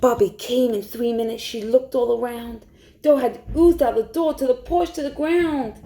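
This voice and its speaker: A woman storytime teacher reading a rhyming picture book aloud to young children in an expressive way. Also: fairly high pitch, normal speed, slight background noise. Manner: expressive, hushed suspense, asks listeners questions.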